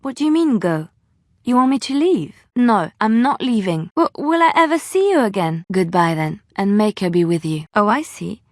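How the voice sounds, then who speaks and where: A woman playing a devout, gentle, romantic young companion in a fantasy video game, speaking in formal, earnest phrases. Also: medium pitch, sing-song, normal speed, dry recording, studio quality. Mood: upset, indignant.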